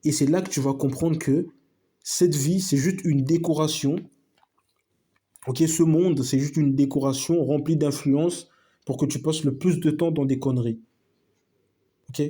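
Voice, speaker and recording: A man's voice: a little high, steady tone, a little slow, pauses often, dark tone, husky. A man giving a casual opinion monologue to his viewers, emphatic and repetitive, full of slang and asides to his friends. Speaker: video host.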